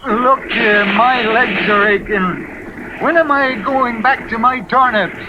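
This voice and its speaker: High, cranky old farmhand. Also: male voice, slow. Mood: grumbling, stubborn. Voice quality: high-pitched old man's voice. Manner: folksy, indignant, plain-spoken. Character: grumbling, stubborn old rustic farmhand. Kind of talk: old-time radio drama acting. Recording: dull, faintly noisy old radio recording.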